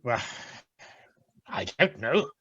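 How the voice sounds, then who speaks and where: An actor playing a crotchety, skeptical old miser in a radio play, with a querulous, theatrical delivery. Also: male voice, medium pitch, big pitch swings, very slow, fairly bright tone, husky, dull audio, full character voice. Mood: scornful, dismissive.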